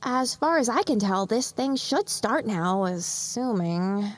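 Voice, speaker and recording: A woman's voice: a little high, animated, slow. A woman playing an earnest, practical young pony mechanic, talking conversationally in an audio drama. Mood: practical, focused.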